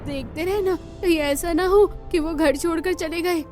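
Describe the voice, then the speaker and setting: A woman's voice: very high-pitched, fairly even intonation, moderate pace, a little mellow, soft background music, voice pushed up. A woman voicing a nosy, concerned village neighbour in a cartoon story, with a gossipy manner. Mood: prying concern.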